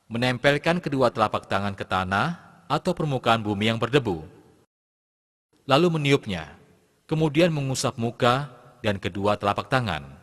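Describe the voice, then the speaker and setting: A man's voice: mid-range voice, fairly bright tone. A man playing a patient religious teacher in an educational cartoon, giving formal, didactic scripted instruction. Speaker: Islamic teacher. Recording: long reverberant tail on the voice.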